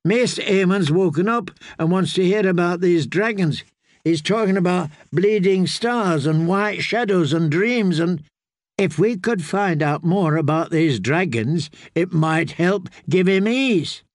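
Storybook part: timid, anxious young man, acted by a man, higher voice, pleading and earnest. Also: measured pace, dry recording, clean studio sound.

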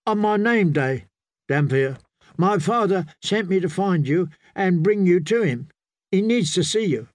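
Man voicing a high-pitched, earnest teenage lordling. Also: animated, unhurried, no echo, clean studio sound. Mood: polite, earnest. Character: deferential sixteen-year-old young lord's messenger. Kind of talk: dramatic fantasy audiobook character voice.

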